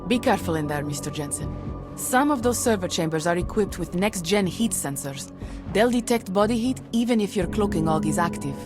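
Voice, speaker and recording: An actress plays a covert female contact from a video game. Her voice is on the low side, cool and controlled, with measured formal phrasing over clear background music. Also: expressive, close to an everyday voice. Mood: cool, cautioning.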